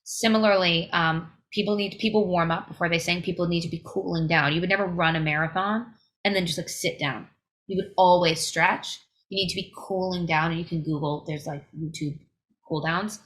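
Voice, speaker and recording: Bright-voiced young woman singer, emphatic. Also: fairly low pitch, fairly even intonation, brisk, a little hiss or hum, poor audio. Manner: earnest, emphatic advice-giving with fillers. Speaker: touring singer guest. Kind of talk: casual interview answers. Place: home recording, podcast interview.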